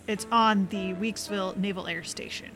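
A woman co-hosting a podcast, telling a story in a clear, scripted delivery. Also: mid-range voice, fairly even intonation, moderate pace, music in the background, low-quality recording. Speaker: podcast co-host. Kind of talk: scripted kids' podcast narration.

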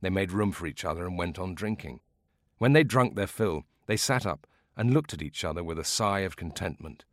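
A man voicing an old Greek war veteran in a storybook, who tells his tale in a dramatic, digressive, drawn-out way. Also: low-pitched, expressive, no echo, clean studio sound. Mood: suspenseful.

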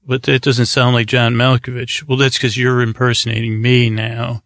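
A tired man with a creaky voice hosts a bedtime podcast, rambling and hesitant, meandering and trailing off mid-thought. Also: medium pitch, little pitch movement, moderate pace, fairly bright tone, no echo, studio quality. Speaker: podcast host.

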